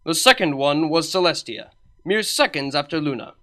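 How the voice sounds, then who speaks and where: A man narrates a comedic fan story with a wry, deadpan touch. His voice sits fairly high for a man and swings freely in pitch as he lands the jokes. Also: normal speed, soft background music. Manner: wry, deadpan delivery with lively emphasis. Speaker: fan fiction reader.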